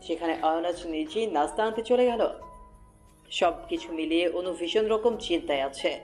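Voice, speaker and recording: A woman with a fairly low voice narrates over light background music. Her delivery is scripted storytelling for a romantic audio tale. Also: moderate pace, darkish tone. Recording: music bed behind voice.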